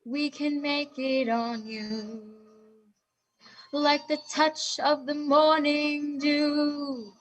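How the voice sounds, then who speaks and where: A woman singing a gentle love song in a clear, steady voice. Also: sits high, extremely slow, faint music behind, poor audio. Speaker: guest singer and performer.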